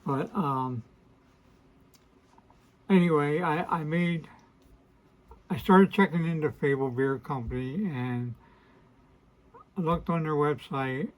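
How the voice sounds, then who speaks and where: A man in his sixties with a hoarse, weakened voice, hosting a casual to-camera vlog and talking in a hesitant, apologetic way with many fillers and restarts. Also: fairly high pitch, poor audio. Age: 60s. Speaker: host of a beard channel.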